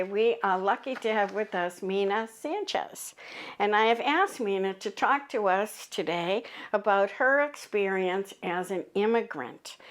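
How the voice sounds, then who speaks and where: Calm woman minister leading a service. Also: mid-range voice. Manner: calm, measured, unhurried leading of prayer. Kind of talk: church service, guided meditation and recited declaration. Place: church service recording.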